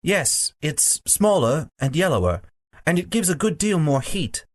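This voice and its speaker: A man's voice: a little high, sing-song, unhurried, no room sound, pitched above the performer's own voice. A man voicing an earnest, curious young boy in an audiobook, with an eager, questioning manner.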